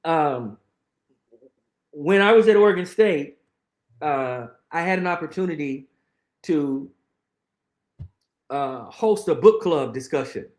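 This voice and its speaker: A middle-aged man with a somewhat high voice talks earnestly in an interview, pressing his points and repeating phrases for weight. The sound carries a noticeable room echo. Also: expressive, slow, pauses often. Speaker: guest. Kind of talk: conversational interview, reflective storytelling.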